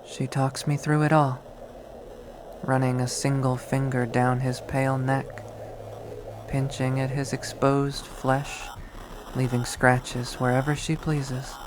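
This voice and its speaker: Slow-paced man narrating a visual novel. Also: medium pitch, flat delivery. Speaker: game player reading aloud. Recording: faint background noise.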